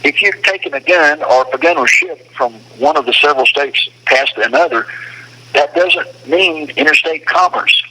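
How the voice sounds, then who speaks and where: A man's voice: a little high, normal speed, pushed and bright. A man talking casually and with strong opinions over a phone call, explaining his points with emphasis. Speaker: detainee speaking on a phone call from a detention facility. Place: phone call from a detention facility.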